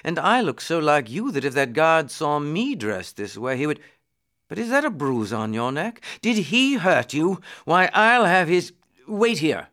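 A man's voice: fairly high pitch, sing-song, moderate pace, fairly bright tone. A man voicing a proud, curious and imperious boy prince in a storybook. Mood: indignant. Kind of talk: storybook character reading.

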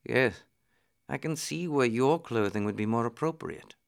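A man's voice: mid-range voice, expressive, unhurried, vocal fry. A man voicing a proud, curious and imperious boy prince in a storybook. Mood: polite. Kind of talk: storybook character reading.

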